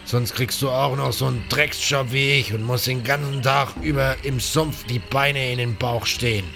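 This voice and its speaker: A man voicing a gruff, suspicious bandit sentry in a fantasy audio story, with blunt, coarse talk. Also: mid-range voice, fairly even intonation, moderate pace, music in the background, close to an everyday voice. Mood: grudging, griping. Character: gruff, suspicious, griping bandit sentry guarding a swamp outpost.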